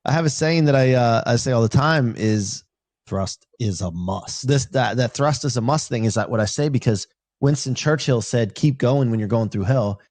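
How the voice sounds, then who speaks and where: A man's voice: medium pitch, normal speed, dry recording. Emphatic man hosting, dry close sound. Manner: energetic, emphatic, casual slang. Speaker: podcast host. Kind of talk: casual interview chat.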